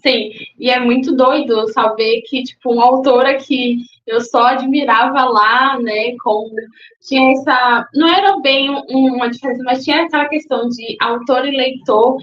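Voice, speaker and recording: A woman's voice: high voice, steady tone, moderate pace, a bit bright, roomy sound, slight background noise. Young woman, from Salvador, guest, thoughtful, hesitant, dull lo-fi recording. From Salvador, Bahia, Brazil. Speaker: guest, book influencer. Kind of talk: casual interview answers.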